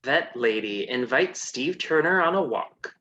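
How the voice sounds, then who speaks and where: A woman's voice: extremely low pitch, lively intonation, a little slow, fairly bright tone, dull audio. A woman narrating a scripted comedy with wry sass. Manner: wry, sassy.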